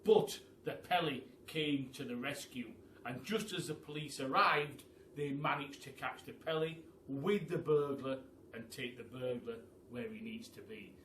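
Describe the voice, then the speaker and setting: A man's voice: medium pitch, measured pace, fairly bright tone, a little hiss or hum. A man presenting a children's storytime, chatting chummily to listeners and reading theatrically. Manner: chummy, addresses listeners as guys. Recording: echoey room, distant mic.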